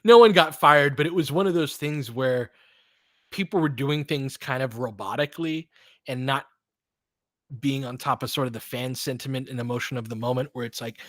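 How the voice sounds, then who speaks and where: Male basketball commentator, mid pitch, dry close sound, casual host style with clipped play-by-play. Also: no echo. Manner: clipped, fragmentary play calls. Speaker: host doing fan play-by-play of a basketball game. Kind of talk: live sports commentary mixed with casual chat.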